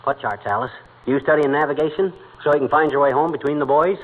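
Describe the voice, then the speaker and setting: An actor playing a blustery, folksy middle-aged husband in a 1940s radio comedy, exclamatory and easily riled. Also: male voice, fairly high pitch, fast, close to an everyday voice. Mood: jokey. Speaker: comic lead in a radio sitcom. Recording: tinny, hissy old radio broadcast.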